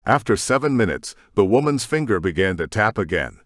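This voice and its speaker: Low-voiced male audiobook narrator. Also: sing-song, a little fast, fairly bright tone, no room sound, studio quality. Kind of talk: scripted narration.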